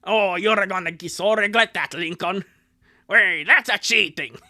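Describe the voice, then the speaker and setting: The streamer voicing a playful, boisterous cartoon sibling, loud and exclamatory, in a live comic dub. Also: mid-range voice, a little slow. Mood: gleeful taunting.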